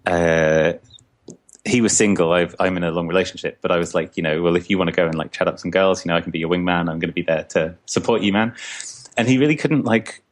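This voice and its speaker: A young man from Scotland, a comics artist answering interview questions in a casual, halting way, full of restarts and fillers. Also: low-pitched.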